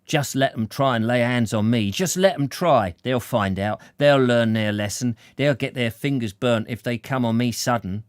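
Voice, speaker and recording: Nervy young tough played by a male reader, blustering and defiant in storybook narration, mid-pitched, dry sound. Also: normal speed, hardly any pauses, no echo, natural voice. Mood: blustering, threatening. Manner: agitated, clipped, blustering slangy talk. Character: nervy, defiant young man on the run, muttering threats.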